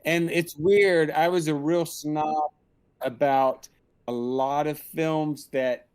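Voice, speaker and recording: A man's voice: a little high, fairly even intonation, slow, a little mellow, clear, resonant tone. Candid middle-aged man, reflective interview guest. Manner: candid, self-deprecating. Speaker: interview guest, film director. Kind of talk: reflective casual interview talk. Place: indoors, on a group video call.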